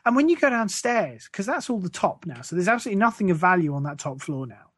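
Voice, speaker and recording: A man's voice: high-pitched, sing-song, fast talker, fairly bright tone, no echo. Scornful English man ranting, dry close sound. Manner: sweary, scornful, sarcastic. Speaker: podcast host. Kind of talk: casual comic rant.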